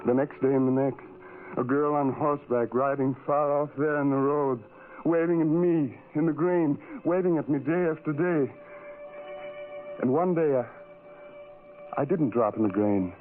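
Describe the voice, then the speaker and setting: An actor playing a lonely, tormented man in a radio horror drama, with a brooding, halting, intense confessional delivery. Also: a little high, natural voice. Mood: yearning. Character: lonely, tormented, self-loathing man who hides from people. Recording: tinny old radio recording, music behind.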